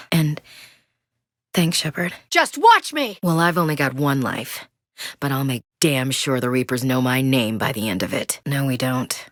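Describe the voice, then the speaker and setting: A woman's voice: deep voice, no room sound. An actress playing a tough young female marine soldier in a video game, blunt and soldierly with dry wit. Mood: shouting. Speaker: squad soldier.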